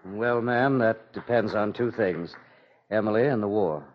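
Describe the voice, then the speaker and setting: An actor playing a grave, courteous young Southern officer, polite and measured, in a 1940s radio drama. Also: male voice, lowish voice, close to an everyday voice. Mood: courteous, foreboding. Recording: muffled vintage 1940s radio recording.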